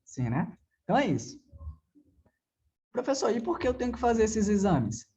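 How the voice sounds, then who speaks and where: A man's voice: high-pitched, sing-song, normal speed, dark tone. A Brazilian man giving a conversational classroom lecture as a driving theory teacher, didactic, posing questions and answering them himself with frequent check-in tags.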